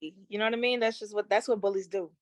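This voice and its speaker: Young woman, from Haiti, singer guest, casual slangy talk, mid pitch, dry close sound. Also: racing, no room sound. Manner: relaxed, slangy, with frequent fillers. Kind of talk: casual interview chat.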